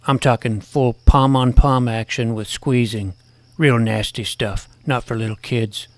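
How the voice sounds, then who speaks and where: A man playing an earnest, self-important junior high superhero who narrates his own adventure with mock-dramatic delivery. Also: fairly even intonation, unhurried, dry recording, lightly acted. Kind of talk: scripted first-person story narration.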